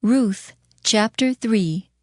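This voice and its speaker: A woman narrator reading scripture in archaic English, formal and measured. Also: mid-range voice, no echo. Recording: faint music behind the voice.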